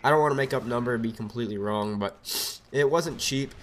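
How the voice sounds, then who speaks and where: A young man with a cold and a raspy voice, rambling casually through gameplay commentary on a desk microphone. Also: mid-range voice, brisk, a bit bright. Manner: rambling, chatty, lots of fillers. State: has a cold. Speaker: gaming commentator. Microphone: desk USB microphone.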